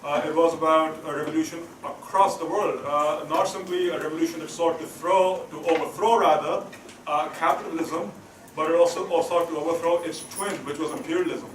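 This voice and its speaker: A man on a seminar panel, talking in quick bursts full of fillers. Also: a little high, steady tone. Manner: rapid, halting with many fillers. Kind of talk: academic panel discussion. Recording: roomy hall, distant mic, noisy.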